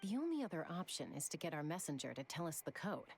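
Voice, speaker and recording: A woman's voice: sits low, sing-song, fast, creaky, slightly rough, full character voice. A woman playing a composed adult ally in a video game, speaking in a casual, matter-of-fact way. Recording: faint game music under voice.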